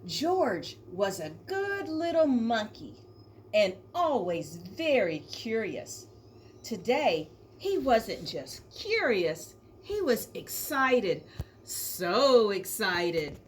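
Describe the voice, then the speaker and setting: A woman reads a picture book to young children in a fairly high voice. Her delivery is slow and highly animated, stretching key words for emphasis the way a schoolteacher does. There is faint noise behind her. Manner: expressive, addresses young listeners with questions and drawn-out emphasis. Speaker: elementary school teacher reading aloud. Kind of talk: children's storybook read-aloud.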